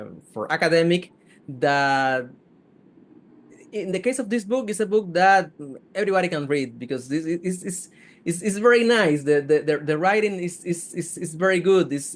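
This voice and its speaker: Halting, fairly high-voiced male host. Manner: halting, repeats words, non-native English phrasing. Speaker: host, program director. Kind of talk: hosting an interview.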